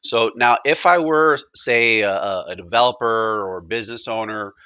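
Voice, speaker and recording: A man asking casual questions in a halting, informal way, as if thinking aloud. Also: fairly low pitch, sharp tone, clean tone, dry recording. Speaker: caller asking questions in a product demonstration.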